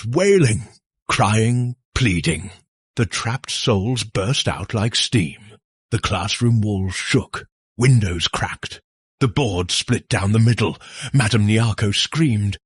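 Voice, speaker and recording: A man narrating a scary folktale as a storyteller, in a suspenseful, theatrical way with dramatic pauses. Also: mid-range voice, husky, no echo, clean studio sound.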